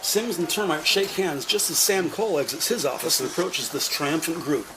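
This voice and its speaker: A man reading scripted descriptive narration in a measured, announcer-like way. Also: on the high side, noisy background. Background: background music.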